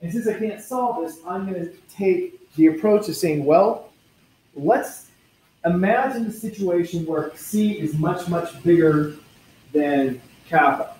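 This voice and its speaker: A man's voice: high voice, takes it slowly, darkish tone, slight background noise, low-quality recording. Man lecturing physics, thinking aloud. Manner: thinks aloud, self-correcting, chatty asides to students. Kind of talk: university lecture at a board. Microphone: lecture recording.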